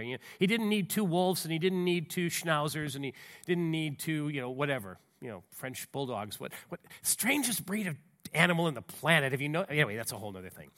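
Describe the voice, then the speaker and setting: Stuffy-nosed man preaching, bright and high. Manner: conversational, folksy, with frequent restarts and asides; reads scripture aloud with rising emphasis. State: stuffy nose from a cold. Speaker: pastor. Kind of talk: sermon.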